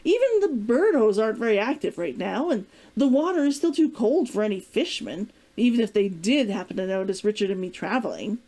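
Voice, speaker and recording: A woman's voice: mid-range voice, very animated, brisk, hardly any pauses, mellow. Woman reading as a proud, guarded woman fighter. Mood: self-assured. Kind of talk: storybook character dialogue read aloud.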